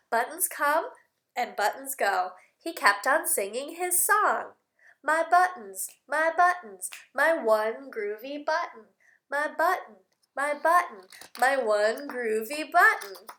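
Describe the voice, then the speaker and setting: A woman teacher doing an animated read-aloud for young children, with exaggerated emphasis, simple explanations, questions and bits of singing. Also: sits high. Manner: simple explanatory phrasing with repeated words. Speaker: speech-language teacher reading aloud.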